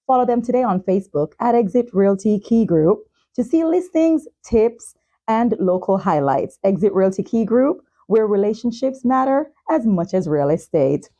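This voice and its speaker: Mellow-voiced woman hosting a talk show. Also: mid-range voice, very animated, moderate pace. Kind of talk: hosted talk show, sponsor reads and interview questions.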